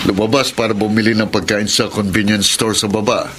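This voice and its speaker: A man's voice: lowish voice, little pitch movement, a little fast, lightly acted. A man playing an easygoing Filipino office employee in a radio drama, casual but respectful. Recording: noisy radio drama track.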